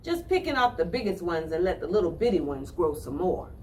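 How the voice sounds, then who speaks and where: A woman telling a children's story with deliberate phrasing, addressing young listeners directly. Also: lowish voice, very animated, dark tone, recorded from a distance, slight background noise, poor audio.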